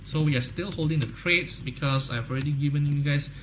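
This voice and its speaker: A man's voice: fairly high pitch, brisk, noisy background. A young man from Indonesia with an Indonesian accent, hosting a live trading stream in casual, salesy chat and often tagging "guys, okay?". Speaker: trading livestream host. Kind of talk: casual livestream chat with viewers.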